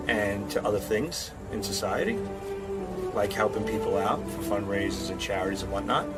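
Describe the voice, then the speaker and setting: A man giving casual interview answers in a joking, self-assured manner. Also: lowish voice. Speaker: radio show staff member.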